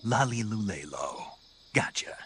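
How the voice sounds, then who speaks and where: An actor playing a gruff, stoic special-forces soldier in a video game, talking tersely in a gravelly voice. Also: male voice, lowish voice, animated, a little slow, a bit bright, a little hiss or hum. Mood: terse, resolute. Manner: terse, dry, questioning. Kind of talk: video game cutscene acting over radio.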